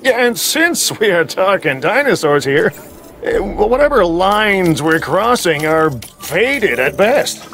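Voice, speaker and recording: A man's voice: high-pitched, sing-song, slow pace, fairly bright tone, faint music behind, exaggerated voice. An actor playing a glib, self-interested park businessman in a video game, flippant and full of hesitant asides. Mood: wry, evasive.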